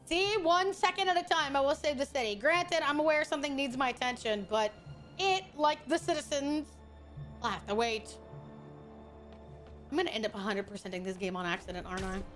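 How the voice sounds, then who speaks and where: A young woman streaming a game, chatting casually with viewers, excitable and swearing freely as she plays. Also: high voice, a little fast, soft background music. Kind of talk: casual gameplay commentary.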